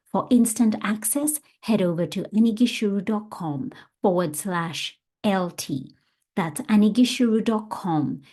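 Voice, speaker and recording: A woman's voice: fairly low pitch, dark tone. A woman hosting a podcast as a coach, presenting to her listeners.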